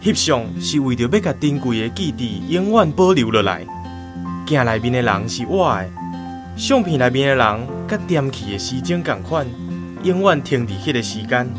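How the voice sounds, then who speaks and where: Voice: medium pitch, expressive, moderate pace, over music, close to an everyday voice. An actor playing an easygoing, philosophical village photographer in a Taiwanese radio drama, explaining things earnestly.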